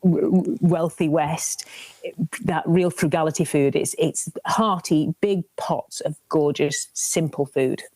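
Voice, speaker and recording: A woman, talking as a guest on the radio. Her voice sits very low, and she tells her stories in a lively way, often restarting her sentences. The sound is dry and close, with no room around it. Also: slow pace, no room sound. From Lancashire, England. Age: 50s. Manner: animated, warm storytelling with many restarts. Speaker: guest, cookery presenter. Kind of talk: radio interview chat.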